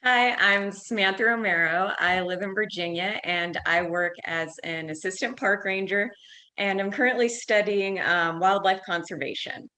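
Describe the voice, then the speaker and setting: A young woman with a bright, forward voice talks casually at a medium pitch as a podcast guest. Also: normal speed. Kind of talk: casual self-introduction.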